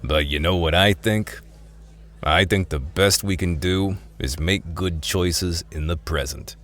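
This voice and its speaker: Very deep, slow-talking streetwise thief. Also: male voice, fairly bright tone. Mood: flirtatious, wry. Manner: casual, wry, informal. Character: streetwise reformed thief, smooth-talking, weary, wry. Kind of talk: radio drama acting and first-person narration.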